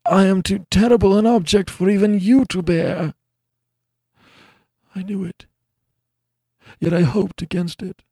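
A man's voice: high-pitched, fairly even intonation, measured pace, pauses often, warm tone, slightly rough, no echo, clean studio sound, pitched above the performer's own voice, heavily acted. A man voicing a disfigured, anguished young husband who speaks in a mournful, pleading way.

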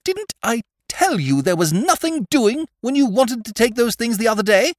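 A man voicing a witty, sardonic gentleman rogue who lectures his sidekick with theatrical irony. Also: extremely high pitch, very animated, normal speed, no echo, studio quality, voice pushed up. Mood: exasperated. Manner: ironic, theatrical, exasperated tirades.

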